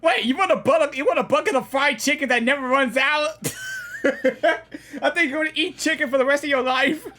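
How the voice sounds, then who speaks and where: A woman hosting a casual game reaction, expressive and giggly, often repeating her phrases. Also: high-pitched, normal speed, slight background noise. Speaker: gaming reaction host.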